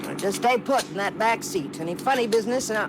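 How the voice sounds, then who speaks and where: A woman's voice: mid-range voice, quick pace, close to an everyday voice. An actress playing a hard, threatening female criminal accomplice, curt and bossy, in a 1950s radio crime drama. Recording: noisy vintage radio recording.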